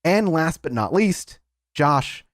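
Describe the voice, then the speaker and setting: A man's voice: on the high side, very animated, slow, no room sound, clean studio sound. A man hosting and narrating a tabletop roleplaying game as storyteller, with a dramatic, descriptive manner.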